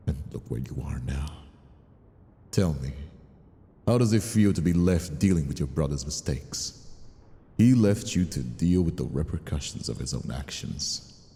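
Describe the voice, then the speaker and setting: A man acts out a menacing mobster in an audio roleplay, with a bottomless, dark voice full of gravel. He mocks and condescends, drawing out his words like a villain who enjoys his power. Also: rumbling low, expressive, a little slow, pauses often, full character voice. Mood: taunting. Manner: taunting, condescending, drawling. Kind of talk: dramatic audio roleplay acting.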